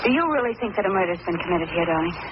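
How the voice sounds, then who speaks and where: A woman's voice: lowish voice, racing, lightly acted. An American actress playing a young, spirited, inquisitive lady companion to a sleuth in a 1940s radio drama. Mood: curious, uneasy. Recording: muffled, very noisy old radio recording.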